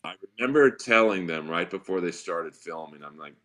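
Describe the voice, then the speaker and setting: A man with a deep voice, a comedian guest telling wry, rambling stories in a casual podcast chat. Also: expressive, normal speed, fairly bright tone.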